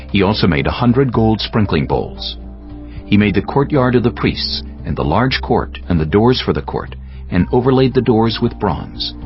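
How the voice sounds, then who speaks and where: A man narrating scripture from a script in a measured, formal manner. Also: on the low side. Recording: slightly dull audio with music bed.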